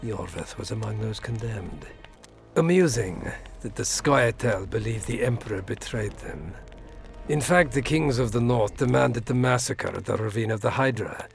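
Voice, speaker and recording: An actor playing a courteous, scheming middle-aged Imperial ambassador in a fantasy game, formal and politely menacing. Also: male voice, lowish voice, animated, normal speed, creaky, soft background music. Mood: coolly courteous. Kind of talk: scripted fantasy game dialogue.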